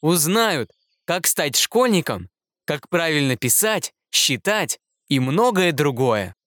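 A kind hedgehog teacher speaks calmly and reassuringly, as a man reads him in a storybook. His delivery is slow and unhurried. The recording is dry and close. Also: fairly high pitch, no echo, full character voice. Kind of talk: storybook character voice.

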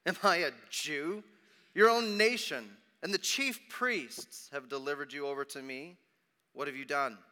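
Man acting a forceful, stern Roman governor. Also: fairly high pitch, measured pace. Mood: probing. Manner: commanding, emphatic questioning. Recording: long reverberant hall echo.

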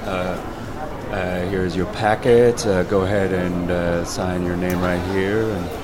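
A man playing a brisk but awkward tournament official in improvised radio theater, with fumbling, clipped, repetitive speech. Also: low voice, fairly even intonation, a crowd in the background. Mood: businesslike, awkward. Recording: music playing over the voice.

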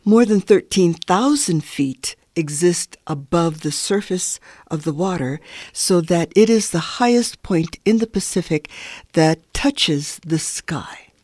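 Older female interview guest from Hawaii. Measured, thoughtful, unhurried phrasing, dry sound. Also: fairly low pitch, expressive, darkish tone, dry recording. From Hawaii, United States. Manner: measured, deliberate, pausing between short phrases. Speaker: interview guest, cultural anthropologist and elder.

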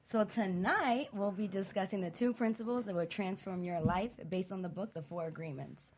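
A woman co-hosting a radio show from a studio, excited and bubbly. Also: medium pitch, normal speed, noisy background.

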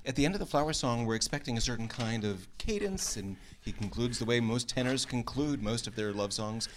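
Medium-pitched man in thoughtful discussion. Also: animated, fairly quick, a little hiss or hum. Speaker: opera dramaturg leading a music discussion. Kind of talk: conversational lecture.

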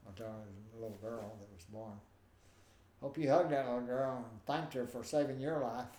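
An older man with a nasal voice, telling stories in an animated way as a guest in a conversation. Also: mid-range voice, brisk. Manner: animated, acts out quoted voices. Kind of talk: storytelling in a conversation. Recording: roomy with faint ambience.

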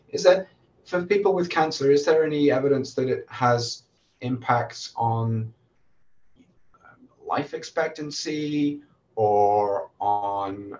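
A man, hoarse from a recent illness, hosting a podcast interview in a relaxed way with many fillers and restarts. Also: mid-range voice, highs cut off, slight background noise. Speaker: podcast host and oncologist.

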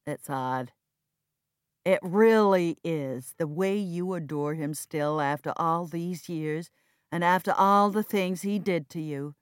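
A woman's voice: fairly low pitch, slow pace, smooth, no room sound, clean studio sound. A woman playing a cold, flippant and bitter grown son in an audiobook drama, with a detached, sardonic and dismissive manner.

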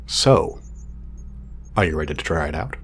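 A man playing a proud, exacting craftsman of android companions in an audio drama, speaking in a formal, measured, condescending way. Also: extremely low pitch, animated, fairly bright tone, exaggerated voice. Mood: proud, instructive. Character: pompous male craftsman of artificial companion drones, exacting, proud. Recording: clear background noise, sci-fi lab ambience.

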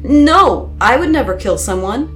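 A woman's voice: fairly high pitch, takes it slowly, soft background music, pitched above the performer's own voice. A woman playing an anguished young woman who answers voices in her head in a pleading, defensive, tender way.

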